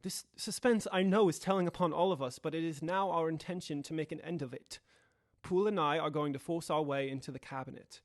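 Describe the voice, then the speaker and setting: A young man playing a grave, dutiful Victorian gentleman lawyer in an audio drama, with a formal and deliberate delivery. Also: a little high, no echo, clean studio sound, natural voice. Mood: commanding. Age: young adult.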